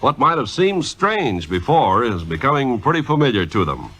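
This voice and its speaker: Male vintage radio narrator: formal, declamatory, very animated, crisp bright tone. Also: medium pitch, moderate pace. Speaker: radio intermission speaker. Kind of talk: 1950s scripted radio narration.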